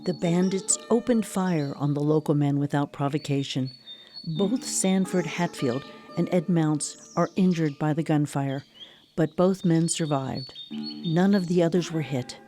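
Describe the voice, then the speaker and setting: A woman narrating a scripted radio drama in a measured storytelling manner. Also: sits low, warm tone, soft background music.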